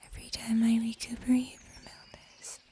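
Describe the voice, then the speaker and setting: A young woman playing a gentle, caring forest healer in a soothing, whispered ASMR fantasy roleplay. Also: fairly high pitch, monotone, slightly rough. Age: young adult. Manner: soft, soothing, slow whispering. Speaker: ASMR performer.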